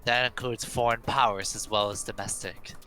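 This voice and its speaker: Man putting on a pompous, forceful young heir. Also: mid-range voice, fairly even intonation, normal speed, soft background music, close to an everyday voice. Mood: smug boasting. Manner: pompous, declaiming. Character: haughty, pompous young heir relishing a murder mystery.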